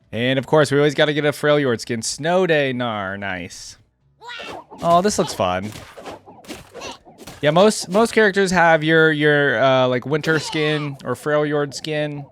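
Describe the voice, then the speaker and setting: A man's voice: mid-range voice, a bit bright. A man giving casual reaction commentary as a gamer, thinking aloud in an informal way. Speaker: gamer reacting to game character skins.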